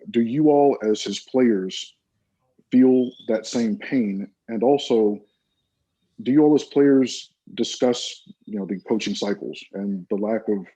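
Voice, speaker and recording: A male reporter asking a player a question at a press conference, in a measured, polite way. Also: lowish voice, dark tone, breathy edge. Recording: dull, lo-fi audio.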